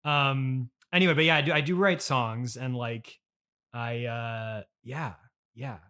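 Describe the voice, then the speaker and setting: A man's voice: medium pitch, steady tone, measured pace, bright, forceful tone. Hesitant, rambling male podcast host. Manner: hesitant, rambling, self-conscious and agreeable. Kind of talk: casual improvised comic chat.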